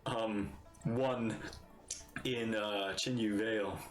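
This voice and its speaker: Very low-voiced woman streamer, roomy echo. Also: steady tone, slow pace, faint music behind. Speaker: streamer reacting to a game. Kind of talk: casual livestream commentary, reading along and reacting.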